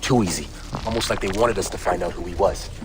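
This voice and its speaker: An actor playing a focused field agent in a video game, plain and matter-of-fact. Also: male voice, sits low, fast talker, background noise, close to an everyday voice. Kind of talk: video game cutscene acting.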